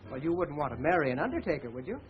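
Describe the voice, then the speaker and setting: A man's voice: fairly high pitch, very animated, racing. An actor playing an earnest, bashful young man with a self-deprecating manner in 1930s melodrama style. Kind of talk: 1930s melodrama film acting. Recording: muffled, very noisy old film soundtrack.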